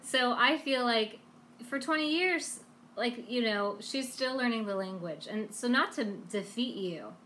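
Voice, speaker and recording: A woman's voice: fairly high pitch, lively intonation, unhurried, pushed and bright, a little hiss or hum. A woman hosting a language lesson live stream, talking in a casual, chatty and encouraging way.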